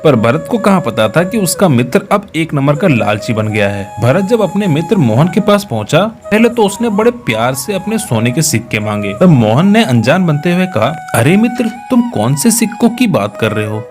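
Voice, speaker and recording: A man narrates a scripted children's story. His voice is somewhat high, with big melodic swings. Clear music plays behind him. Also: big pitch swings, moderate pace, few pauses.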